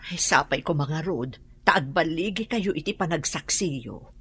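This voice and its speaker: Low-voiced, worried mother. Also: animated, measured pace, a bit bright, husky, heavily acted. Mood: worried, tender. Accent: Filipino. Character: anxious, devout older mother cautioning her daughter. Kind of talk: Filipino radio drama acting.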